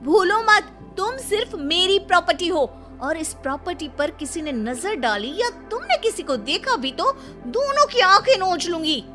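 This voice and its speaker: A woman's voice: very high-pitched, big pitch swings, normal speed, few pauses, bright, forceful tone, voice pushed up, exaggerated voice. A woman doing a fiery jealous young wife. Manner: scolding, sarcastic. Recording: faint music behind.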